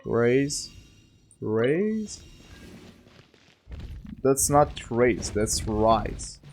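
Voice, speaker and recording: A man's voice: mid-range voice, sing-song, drawn-out words, a little mellow, slight background noise. A man playing a video game and thinking aloud in casual commentary, self-mocking and given to exclamations and muttering. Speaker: gaming streamer, player.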